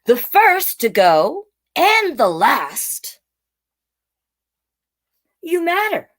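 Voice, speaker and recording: A woman's voice: sits high, wildly swooping pitch, very slow. A woman hosting a children's storytime, reading picture books in a playful, encouraging way and chatting directly to young viewers. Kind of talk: animated picture-book read-aloud with chatty asides to young viewers.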